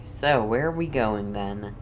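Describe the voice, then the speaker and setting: A man's voice: lowish voice, expressive, measured pace, slight background noise, poor audio. A man giving casual playthrough commentary as a gamer, reacting and musing aloud.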